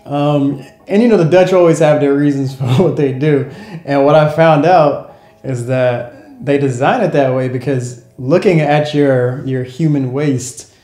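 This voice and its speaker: Mellow young American man in a roomy space. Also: fairly high pitch, a little slow. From United States. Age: young adult. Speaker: vlogger. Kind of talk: casual chat to camera. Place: small room in a home, indoors. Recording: roomy echo, music behind.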